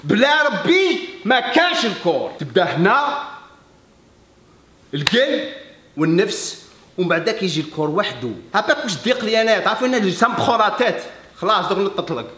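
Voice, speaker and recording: Guy, pretty high voice, heartfelt vlog talk, halting french mixed with arabic, echoey room. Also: very animated, pushed and bright, slight background noise. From Algeria. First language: English. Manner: emphatic, halting non-native French with repeats. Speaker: fitness coach and video host.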